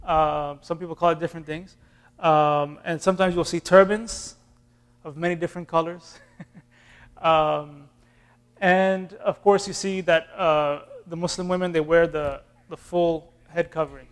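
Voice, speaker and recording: A man in his thirties from Austin, Texas, an imam answering questions in a relaxed, classroom way with fillers and restarts, filmed by a far camera in a community center room. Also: fairly high pitch, unhurried, clean tone. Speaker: imam teaching an intro class.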